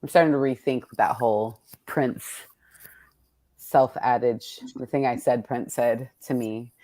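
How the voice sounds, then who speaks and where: A woman's voice: steady tone, a little slow, mellow, dry recording, rough recording. A woman hosting a casual, reflective conversation as a poet, searching for her words and often rephrasing as she goes. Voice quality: low-pitched. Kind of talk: casual reflective interview chat.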